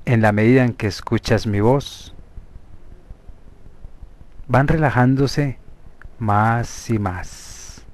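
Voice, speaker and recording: A man with a Colombian Spanish accent leading a guided hypnosis meditation as a therapist, speaking deliberately in short, separated phrases. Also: medium pitch, a little mellow. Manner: slow, deliberate, pausing between short phrases.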